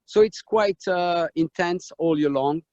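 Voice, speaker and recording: French-accented man, halting and dull. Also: high-pitched, a little mellow, dry recording. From France. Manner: halting, many fillers and restarts. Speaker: winemaker. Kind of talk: live video tour and tasting talk. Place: outdoors in a vineyard on a hot day. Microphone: video call. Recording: dull lo-fi video call sound.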